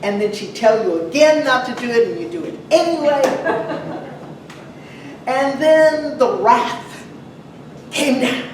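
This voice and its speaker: A woman preaching a sermon to a congregation in a conversational way, putting questions to her listeners and pausing for emphasis. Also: high-pitched. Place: large echoey church room. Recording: very echoey, distant mic, some noise.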